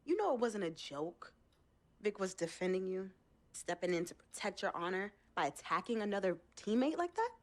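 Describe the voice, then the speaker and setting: An actress playing an athlete's disapproving sister in a game drama, speaking in a frank, scolding way. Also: female voice, mid-range voice, big pitch swings, moderate pace, natural voice. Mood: reproachful. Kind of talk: naturalistic dramatic game cutscene acting. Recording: music behind the voice.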